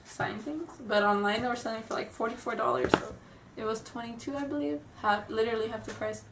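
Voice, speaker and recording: A woman's voice: on the high side, expressive, fairly quick, very noisy. A young woman who sounds stuffy from a cold, chatting nervously and rambling in a casual vlog. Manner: nervous, rambling, apologetic, giggly. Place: room at home. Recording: roomy, distant home camera audio.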